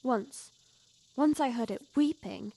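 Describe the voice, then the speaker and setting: A woman's voice: a little high, takes it slowly, gravelly, warm tone, full character voice. A young woman playing a loyal, frightened longtime household servant in a Victorian audio drama, earnest and deferential, telling things with emphasis. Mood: haunted, low. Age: young adult.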